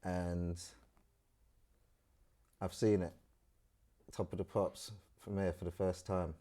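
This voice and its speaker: Trinidad-born guy, slangy storyteller, lowish voice. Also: slow pace. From Trinidad and Tobago. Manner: animated storyteller, London street slang. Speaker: guest. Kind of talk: casual storytelling interview.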